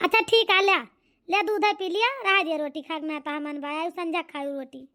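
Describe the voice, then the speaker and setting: औरत की आवाज़ में तीखी ऊँची आवाज़ वाली अवधी माँ. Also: female voice, very high voice, fairly even intonation, normal speed, sharp tone. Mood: tired, coaxing. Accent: Awadhi. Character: village mother, hardworking, patient, coaxing her young daughter. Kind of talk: homemade cartoon comedy dialogue.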